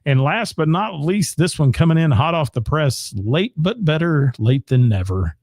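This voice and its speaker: A man's voice: fairly high pitch, lively intonation, normal speed, darkish tone, no echo, studio quality. A man, hosting a live show and rattling off local gig listings with asides to the chat. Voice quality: gravelly voice. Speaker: radio host.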